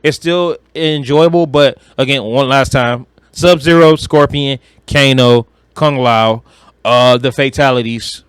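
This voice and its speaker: A man's voice: medium pitch, a little slow, smooth, dry recording. A man co-hosting a podcast in casual chat, talking bluntly in slang with some swearing. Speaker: podcast co-host and reviewer.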